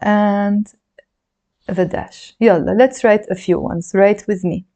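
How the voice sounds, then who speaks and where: Mellow-voiced woman teaching, chatty and encouraging. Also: mid-range voice, fairly even intonation, slow. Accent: Lebanese Arabic. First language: Arabic. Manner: chatty, encouraging, counts along while writing. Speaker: Arabic teacher. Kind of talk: handwriting lesson, demonstrating while talking.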